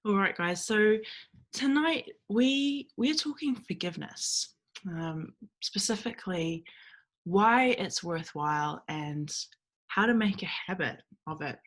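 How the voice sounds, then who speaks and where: A young woman with a New Zealand accent giving a relaxed, chatty recorded talk to a church group from home, with frequent ums. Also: mid-range voice, unhurried. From Fiji. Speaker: guest speaker at a ministry meeting.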